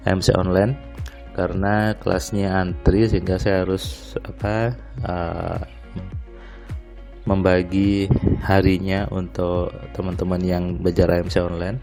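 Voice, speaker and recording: Low-voiced guy with a stuffed-up nose rambling calmly thru his night diary out on the terrace, soft music in the back. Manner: relaxed, rambling with frequent fillers. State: slightly nasal, stuffy voice. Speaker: motivational audio diarist. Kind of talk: casual spoken diary monologue.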